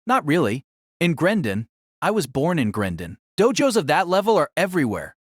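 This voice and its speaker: A woman voices a reserved young male transfer student in a low, husky register. He is modest and hesitant, yet his pitch moves freely, in dry audio drama dialogue. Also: big pitch swings, normal speed, no echo, exaggerated voice. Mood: modest, guarded.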